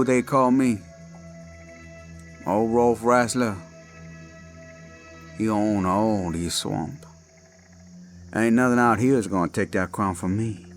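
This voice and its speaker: A man doing a drawling Cajun werewolf villain. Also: on the low side. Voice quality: drawling, slow and taunting. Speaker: villain in audio drama. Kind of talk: horror audio roleplay. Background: faint eerie music and crickets.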